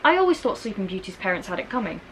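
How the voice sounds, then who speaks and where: A woman's voice: mid-range voice, very animated, racing, slight background noise. A woman reading a storybook, voicing a cheeky eleven-year-old boy who argues with wry smugness. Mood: defensive, teasing. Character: cheeky, smug eleven-year-old schoolboy twin brother. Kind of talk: children's storybook character reading.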